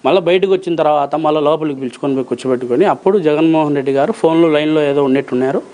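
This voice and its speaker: Man, Rayalaseema Telugu accent, higher-than-average voice, rapid colloquial interview answers, forceful repetition and rhetorical questioning. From Rayalaseema, Andhra Pradesh, India. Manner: emphatic, insistent, repeats phrases and asks rhetorical questions. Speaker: interview guest, approver witness in a criminal case.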